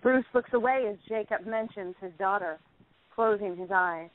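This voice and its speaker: A woman's voice: medium pitch, moderate pace. A woman reading stage directions as scripted narration for a play. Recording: tinny narrowband phone-like line.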